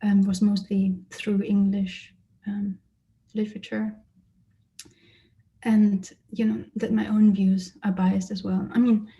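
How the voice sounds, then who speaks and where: A woman who grew up in Germany, an artist giving a lecture about her exhibition and speaking thoughtfully with frequent pauses and fillers. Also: medium pitch, little pitch movement, dark tone, highs cut off. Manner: hesitant, many fillers and restarts, thoughtful. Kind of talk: lecture presentation. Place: lecture or online presentation.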